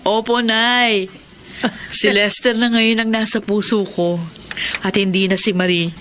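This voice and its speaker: A woman playing an earnest, gentle, tomboyish young Filipina in a radio drama. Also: medium pitch, fairly even intonation, measured pace, noisy background, natural voice. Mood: content.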